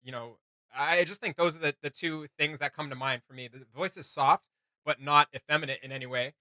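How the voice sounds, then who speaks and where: A man's voice: on the high side, fairly even intonation, fairly quick, bright, forceful tone, no echo. A man hosting a reaction video, chatting informally and enthusiastically. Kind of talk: casual reaction commentary.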